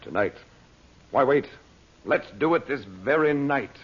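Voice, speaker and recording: A man's voice: mid-range voice, lively intonation, unhurried, highs cut off, natural voice. Man acting a decisive magician hero, noisy old radio. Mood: determined. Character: commanding magician hero, urgent, kindly, decisive uncle. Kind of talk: 1940s radio drama acting.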